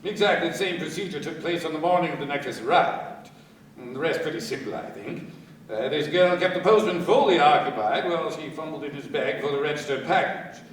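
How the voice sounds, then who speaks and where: An actor playing a composed police inspector, speaking formally with dry irony in a radio crime drama. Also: male voice, on the high side, animated, lightly acted. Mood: explaining, assured. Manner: measured, formal, dryly ironic. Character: composed, dryly triumphant male police inspector. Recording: very echoey, distant, faint noise.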